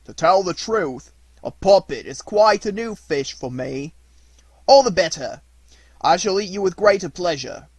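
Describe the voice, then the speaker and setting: Man as storybook monster fisherman, menacing, loud, mock-courteous, gloating, emphatic. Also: high voice, expressive, a little slow, husky, no room sound, voice pushed up, heavily acted.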